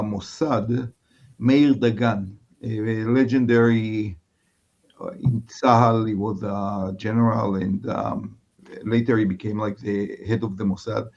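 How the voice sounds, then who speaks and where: A man's voice: on the low side, darkish tone, dull audio. A man from Israel with an Israeli accent, a native Hebrew speaker, speaking reflectively as a guest rabbi and often searching for his words. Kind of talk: reflective conversation.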